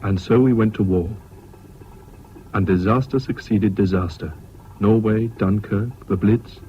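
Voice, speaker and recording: An actor playing a sober Englishman who narrates his wartime memories in measured radio drama style. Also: on the low side, lightly acted. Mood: reflective. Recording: dull, slightly hissy old radio recording.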